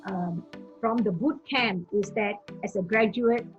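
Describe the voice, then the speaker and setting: A woman's voice: mid-range voice, measured pace, highs cut off, faint music behind. A woman evangelist from Singapore giving earnest teaching and testimony, repeating phrases for emphasis.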